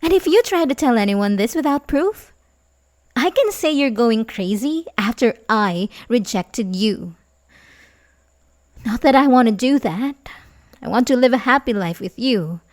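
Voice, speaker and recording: A woman playing an obsessive, manipulative young babysitter in love in an ASMR roleplay, halting and pleading with soft menace. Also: a little high, expressive. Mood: coldly menacing. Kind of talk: ASMR roleplay audio drama, addressing the listener.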